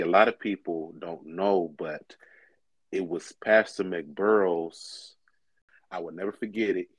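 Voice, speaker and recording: Deep-voiced young man pastor. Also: husky, dry recording, low-quality recording. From Michigan. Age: 20s. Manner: relaxed, conversational, with frequent fillers. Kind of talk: casual interview conversation.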